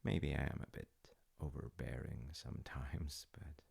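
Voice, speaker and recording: A man playing a gentle, doting dad with dry humor in an intimate comfort audio roleplay, musing softly and haltingly in a husky murmur. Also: rumbling low, exaggerated voice. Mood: tender, reassuring. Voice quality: gravelly, husky, often dropping to a breathy murmur. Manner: slow, soft-spoken, halting, musing. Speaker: voice actor.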